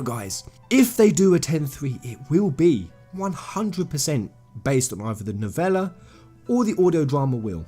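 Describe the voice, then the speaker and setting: A man's voice: fairly high pitch, warm tone, husky. A man hosting a video game channel, giving informal opinion commentary in an emphatic, slangy way with effusive fan enthusiasm, speaking straight to viewers.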